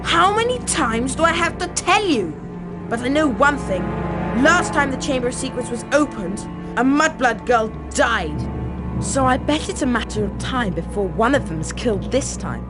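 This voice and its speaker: A woman's voice: a little high, animated, moderate pace, natural voice. A woman voicing a spiteful schoolboy bully in a game, with a haughty sneer. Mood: sneering, impatient. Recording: loud music behind the voice.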